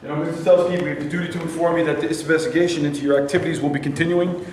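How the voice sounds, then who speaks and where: A man's voice: a little high, flat delivery, rapid-fire, a little mellow, natural voice. Guy reading stern investigator questioning someone in a play, far from mic, big echo. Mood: stern, probing. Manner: official, questioning. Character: probing, stern male war-crimes investigator. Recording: very echoey room, distant mic, light noise.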